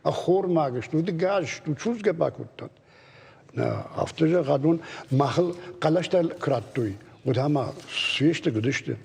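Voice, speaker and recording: A native Ossetian-speaking man with a raspy, gravelly voice, talking insistently and off the cuff, repeating himself. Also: on the high side, lively intonation, a little slow, a little mellow, a little hiss or hum. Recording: long reverb, echoey space.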